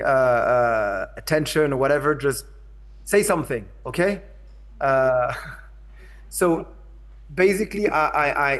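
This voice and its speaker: A man from France with a French accent, giving a hesitant lecture over a video call, often repeating words and checking in with his listeners. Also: a little high. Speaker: invited speaker, curator. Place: in São Paulo, remote.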